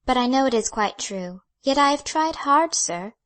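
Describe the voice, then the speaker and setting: A man reading aloud and voicing a timid, earnest young woman who speaks softly and humbly. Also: very high-pitched, expressive, normal speed, pitched above the performer's own voice, full character voice. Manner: soft, humble, deferential.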